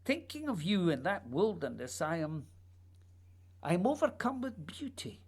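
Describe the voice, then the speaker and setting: A man's voice: high-pitched, very animated, unhurried, breathy edge, exaggerated voice. An actor playing a lonely, remorseful playwright mourning his lost friend, in a theatrical, sighing radio drama monologue. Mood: overcome, fervent.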